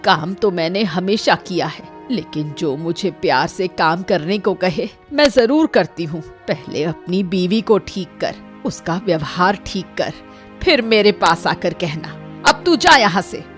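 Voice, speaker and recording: A woman's voice: on the low side, expressive, unhurried, soft background music. A woman voicing a hardworking elderly village mother-in-law in a cartoon, proud, plain-spoken and plaintive. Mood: indignant. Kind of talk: animated moral story acting.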